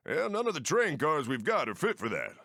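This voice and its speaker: An actor playing a friendly Old West steam train engineer in a video game, with an American accent and folksy frontier phrasing. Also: male voice, fairly high pitch, huge pitch swings, fairly quick, vocal fry, sharp tone, dry recording, clean studio sound, heavily acted. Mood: helpful, earnest. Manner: folksy, colloquial drawl of the frontier. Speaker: mission-giver game character. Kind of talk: animated video game voice acting.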